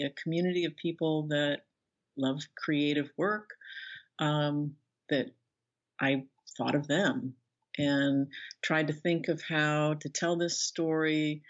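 An older woman speaking as an interview guest, thoughtful and conversational, pausing as she searches for words. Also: low voice. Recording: slightly dull, band-limited audio.

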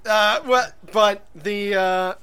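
A man's voice: sits high, fairly even intonation, takes it slowly, sharp tone, clean tone. A man co-hosting a podcast in casual chat, rambling and hesitant, full of fillers and restarts. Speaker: podcast co-host.

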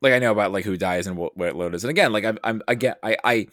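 A man with a low voice talks casually as a podcast guest, in loose conversational phrases. The recording is close and dry, with little room sound. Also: fast talker, fairly bright tone, dry recording. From United States.

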